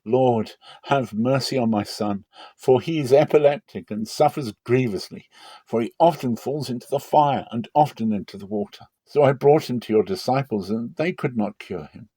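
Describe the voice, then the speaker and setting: A man performs a desperate father in a scripture drama. He begs earnestly for his sick son in a mellow, closely recorded voice. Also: mid-range voice, normal speed, husky, no echo, pitched above the performer's own voice, lightly acted. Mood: desperate pleading. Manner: pleading, earnest.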